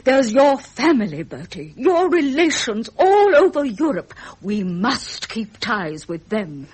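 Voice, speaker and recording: A stern, regal older queen in a vintage radio drama. Her upper-class British speech is formal and dismissive, with lively swoops in pitch and a slightly husky, unclear edge. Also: slow pace, exaggerated voice. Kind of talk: period radio drama acting.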